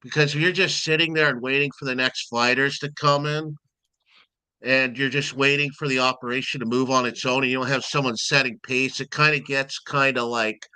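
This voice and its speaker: A man with a loud voice, a guest timekeeper rambling expressively in a casual interview chat. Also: mid-range voice, steady tone, brisk, clean tone, dry recording, poor audio.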